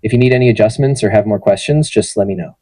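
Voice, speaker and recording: A man's voice: on the low side, fast talker, dry recording. A synthetic male AI assistant voice giving friendly, polished answers in an interview. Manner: friendly, even, polished delivery. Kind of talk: conversational answers to interview questions.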